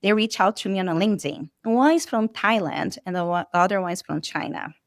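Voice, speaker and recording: A young adult woman from Brazil with a Brazilian accent, chatting as an interview guest, lively with lots of filler likes. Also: mid-range voice, a little fast, no echo, clean studio sound. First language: Portuguese. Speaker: guest, medical sales rep. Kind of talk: casual interview talk.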